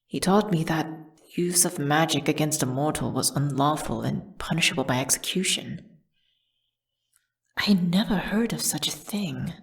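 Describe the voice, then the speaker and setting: Casting: female actor as condemned witch for a fantasy ASMR drama, low register, breathy and measured, solemn, tragic storyteller. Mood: somber, wistful. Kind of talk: dramatic first-person ASMR audio storytelling.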